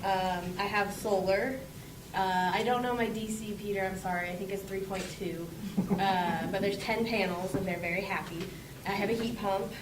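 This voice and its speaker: A woman's voice: mid-range voice, fairly even intonation, normal speed, distant mic, background noise. Bubbly woman in an echoey room. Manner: bubbly, self-deprecating, laughing. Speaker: club leadership member. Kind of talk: casual self-introduction. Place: meeting room.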